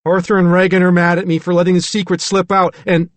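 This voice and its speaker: A man reading an audiobook, voicing a frightened little boy who pleads in a high, urgent voice. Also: little pitch movement, fast talker, no echo, lightly acted. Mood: upset pleading.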